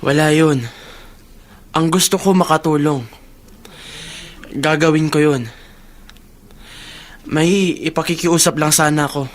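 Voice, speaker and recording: A man's voice: a little high, steady tone, a little slow, pauses often, close to an everyday voice. A man acting a loyal, encouraging friend in a radio drama, speaking in an earnest, reassuring way. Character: loyal, encouraging male friend, steady and sincere.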